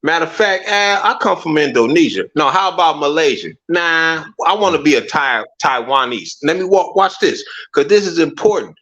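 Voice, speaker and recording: A man's voice: fairly high pitch, expressive, normal speed, few pauses, bright, forceful tone, dull audio. A man talking in a casual discussion, making his points with rhetorical questions and asking listeners to "watch this.". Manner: emphatic, rhetorical, repeats "watch this". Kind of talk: casual conversation.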